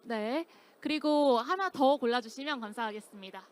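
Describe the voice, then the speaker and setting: A woman's voice: on the high side, normal speed. A woman hosting a conference session, with formal, very polite announcer phrasing. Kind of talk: formal, scripted-sounding event hosting. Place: conference hall stage, amplified talk.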